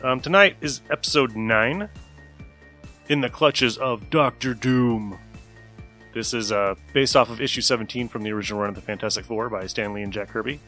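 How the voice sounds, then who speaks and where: Man, casual podcast host, bright voice, mid pitch, faint music behind. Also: moderate pace.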